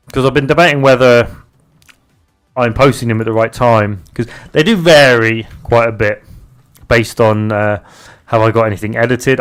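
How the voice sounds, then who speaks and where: A young British man streaming live, chatting casually and haltingly as he reads out viewer messages. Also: medium pitch. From Britain. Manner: rambling, halting, restarts often while reading viewer chat aloud. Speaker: live streamer and game developer.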